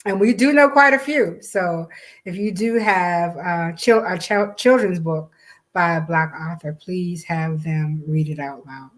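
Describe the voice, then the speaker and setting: An American woman with a slightly low-pitched voice co-hosts an informal promotional chat. She talks in a conversational, emphatic way, often saying a phrase again to drive it home. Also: measured pace. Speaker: co-host and event organizer.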